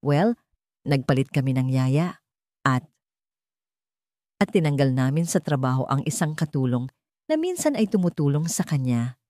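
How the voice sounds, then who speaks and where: A woman's voice: deep voice, very animated, normal speed, pauses often, warm tone, no room sound, studio quality. A woman voicing a desperate, proud wealthy father in an audio story, anxious and guarded. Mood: worried, hesitant. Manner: hesitant, guarded.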